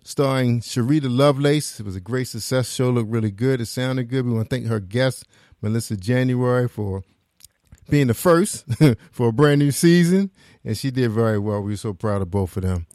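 A man's voice: medium pitch, normal speed, a little mellow, no echo, studio quality, soft background music. A man hosting an internet radio talk show in a casual, informal conversational style, often repeating his phrases.

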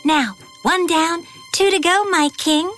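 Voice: extremely high pitch, takes it slowly, a bit bright, soft background music, heavily acted. A performer playing a small, sly, gleeful schemer who serves a king in a children's bedtime story. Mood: gleeful scheming.

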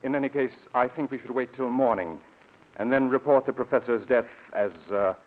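A man's voice: mid-range voice, brisk, natural voice. An American man acting a formal, persuasive laboratory assistant in a 1940s radio drama, on a muffled recording. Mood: grave, persuasive. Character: earnest, persuasive male laboratory assistant, secretive and urgent.

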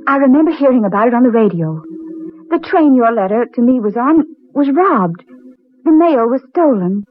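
A woman's voice: a little high, big pitch swings, normal speed. An actress playing an earnest, wondering woman in a dramatic 1940s radio play. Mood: hushed realization. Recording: tinny 1940s radio recording, music behind.

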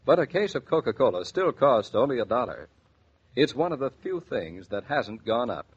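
Old time radio announcer guy, 40s sponsor read, bit raspy, dull lo-fi recording. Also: mid-range voice, sing-song, moderate pace. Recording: dull 1940s radio transcription.

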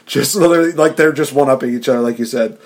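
A man hosting a podcast, chatting casually, excitable and laughing often, with frequent restarts. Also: medium pitch, fast talker, a crowd in the background. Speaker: podcast host. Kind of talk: casual chat.

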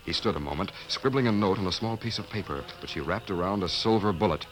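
A man with a very low voice reads dramatic western narration in the manner of 1950s radio. Music plays underneath his scripted storytelling. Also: fast, a bit bright.

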